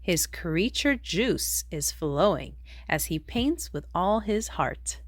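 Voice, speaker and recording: Slow, animated woman reading a storybook. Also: on the low side, dry recording. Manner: expressive, deliberate storytelling for young children. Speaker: children's book reader. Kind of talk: read-aloud storybook narration in rhyme.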